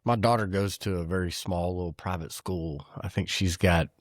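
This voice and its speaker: A middle-aged man, his voice very deep, hosts the chat in a folksy, curious way and reacts quickly. The recording is close and dry. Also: dry recording. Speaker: podcast host. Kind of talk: conversational interview.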